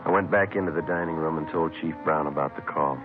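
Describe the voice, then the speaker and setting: An actor playing a terse, businesslike police sergeant narrating a vintage radio crime drama in a deadpan, clipped way. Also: male voice, very deep voice, quick pace, phone-quality sound. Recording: band-limited vintage radio recording, music behind.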